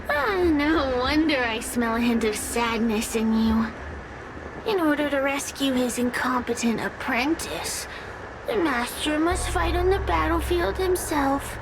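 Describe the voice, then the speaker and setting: A woman's voice: high-pitched, takes it slowly, background noise. An actress playing a sly, mocking female spirit in a video game, haughty and theatrical in her drawl. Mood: gloating. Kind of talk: dramatic game voice acting.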